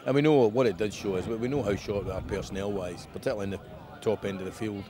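A man's voice: fairly low pitch, fast talker, a crowd in the background. A man in his fifties, a football manager giving a frank post-match interview outdoors at a windy ground. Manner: frank, conversational, plain-spoken. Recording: outdoor recording with wind noise.

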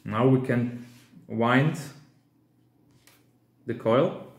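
Male DIY tutorial presenter, thirties, unhurried and halting non-native English, roomy indoor sound. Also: medium pitch. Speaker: amateur radio hobbyist presenter. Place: hard-walled room indoors at a table.

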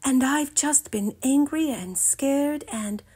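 A woman voicing a grouchy cow turned soft, speaking hesitantly and earnestly in a storybook. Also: high voice, slow, pitched above the performer's own voice. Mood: touched, hesitant. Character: grouchy but softening female cow, hesitant and touched.

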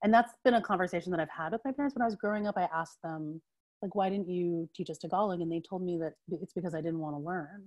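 A woman's voice: lowish voice, quick pace, darkish tone. A woman in her forties chatting on a video call from home through wired earbuds, laughing often, with fillers and restarts. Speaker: interview guest, writer. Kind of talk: casual video call conversation. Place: home room with bookshelves.

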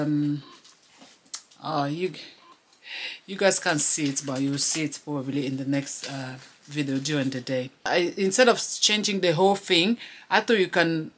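A woman's voice: on the low side, a bit bright. A woman in her thirties, originally from DR Congo, chatting casually to camera in a vlog from a small room at home, often repeating her phrases and laughing between lines. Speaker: vlogger. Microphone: camera close to the face.

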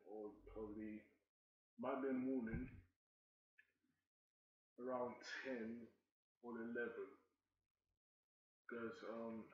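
Young guy hyped up and rambling over a game video, repeats himself a lot, flat monotone delivery, echoey dull-sounding room. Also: fairly low pitch, background noise. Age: 20s. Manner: repetitive, excited, halting with many restarts. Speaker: gaming reaction host. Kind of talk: rambling casual reaction commentary. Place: indoor room at home. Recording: roomy, slightly dull home recording.

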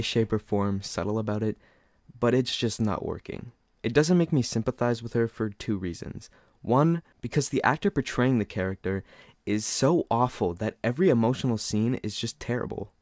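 A man's voice: mid-range voice, expressive, normal speed, darkish tone, no echo, clean studio sound. A man giving a scripted, sarcastic movie review, talking in an exasperated, critical way.

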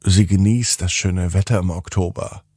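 A man narrating a bedtime story for children in an expressive, playful way, drawing out words and pausing for effect. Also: sits low, slightly rough, dry recording, studio quality. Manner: deliberate, playful, with drawn-out words and dramatic pauses.

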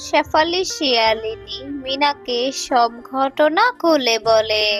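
A woman's voice: sits high, slow pace. A woman narrating a scripted children's story. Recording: faint music behind the voice.

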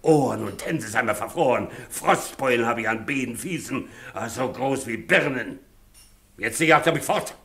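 An actor playing an ageing, stubborn, embittered stable hand with a rustic grumbling manner in a 1960s radio play. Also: male voice, mid-range voice, lively intonation, moderate pace, a bit bright. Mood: aggrieved, proud. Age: older.

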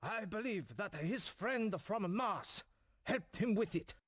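A man's voice: high voice, animated, slow, fairly bright tone, husky, exaggerated voice. A man playing a shaken, suspicious disaster survivor in a dramatic video game scene. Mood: grave, haunted.

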